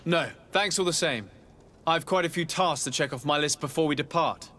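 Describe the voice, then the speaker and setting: An actor playing a polite, composed young nobleman in a video game, formal and measured. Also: a little high, pushed and bright. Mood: friendly. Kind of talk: video game character acting.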